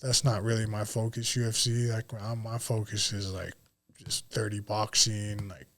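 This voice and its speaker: A man's voice: fairly low pitch, level tone, normal speed, a bit bright. An American man in his late thirties chatting casually as a fighter on a podcast, slangy and swearing freely. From Utah, United States. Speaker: MMA fighter, podcast guest. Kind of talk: casual podcast interview chat.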